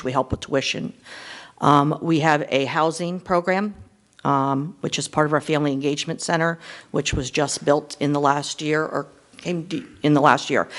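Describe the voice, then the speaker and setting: A man, a county children's services administrator, gives an earnest and hesitant report at a public meeting, with many ums. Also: fairly high pitch.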